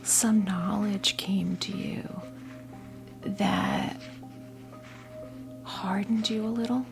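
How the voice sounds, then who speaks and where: American woman, fifties, breathy ASMR whisper, soothing tarot reader role play, very unhurried, crackly fry, background music. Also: mid-range voice, steady tone. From New Jersey, United States. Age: 50s. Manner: soothing, conversational, halting and gentle. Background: soft background music.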